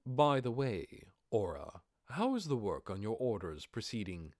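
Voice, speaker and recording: A man performs a composed lord and master in an audiobook. His voice sits low yet moves with wide, stately swings, dry and close. He speaks formally and with measured authority, as one used to giving orders. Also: very animated, darkish tone, dry recording. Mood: commanding. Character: composed lordly master keeping up a commanding act. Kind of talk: audiobook character voice.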